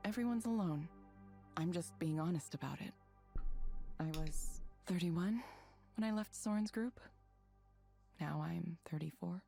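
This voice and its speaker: A disillusioned woman in her thirties from a visual novel. Her voice sits low, and she speaks in a subdued, halting, introspective way, as if confessing. Music plays behind her. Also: creaky, warm tone. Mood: melancholy. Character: Evelyn, a withdrawn, disillusioned woman of thirty-four. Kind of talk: visual novel voice acting, reflective monologue.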